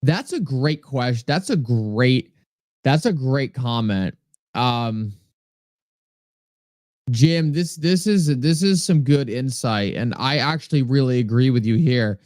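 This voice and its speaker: A man's voice: mid-range voice, animated, slow, a little mellow, no echo, clean studio sound. A man with a New England accent hosting a live stream, chatting casually, reading viewer comments aloud and thanking people by name. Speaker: livestream host. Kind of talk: casual livestream talk answering chat.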